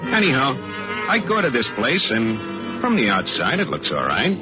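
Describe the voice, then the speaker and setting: A man's voice: medium pitch, wildly swooping pitch, normal speed, music in the background. An actor playing a jittery, slangy American salesman telling his story in a 1940s radio noir drama. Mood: wry, sour. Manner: agitated, slangy, tough-talking. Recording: dull vintage radio recording.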